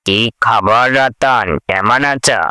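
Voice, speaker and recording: A man's voice: mid-range voice, takes it slowly, pushed and bright, no room sound, clean studio sound. A man playing a scoffing, callously breezy village acquaintance in a cartoon. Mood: mocking, breezy.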